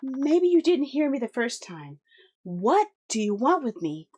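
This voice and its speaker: A woman voicing a young female captive who narrates in a low, subdued, introspective tone. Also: sing-song, unhurried, a little mellow, breathy edge, exaggerated voice. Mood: wary.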